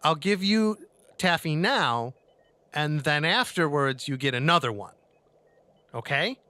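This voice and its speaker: A man voicing a gentle caretaker in a tabletop game, coaxing in halting, simple words as if to a child. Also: fairly high pitch, lively intonation, no echo, voice pushed up.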